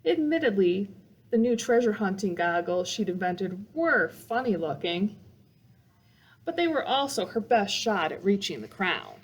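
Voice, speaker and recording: A woman's voice: mid-range voice, sing-song, a little slow, husky. A woman reading a children's picture book aloud as a storytime reader, with dramatic emphasis meant to engage young listeners.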